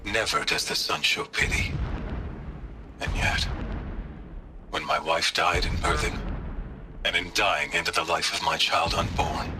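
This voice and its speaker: A stern warrior widower remembering his wife in a deep, slow, solemn voice. Battle noise and gunfire sound clearly in the background of this game recording. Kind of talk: game voice acting, recorded monologue.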